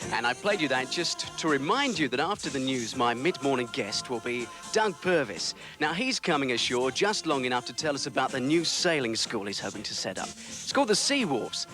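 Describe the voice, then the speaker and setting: A quick-witted local radio DJ. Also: male voice, mid-range voice, big pitch swings, pushed and bright, soft background music. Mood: breezy. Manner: jokey patter, teasing. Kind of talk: 1980 TV drama acting.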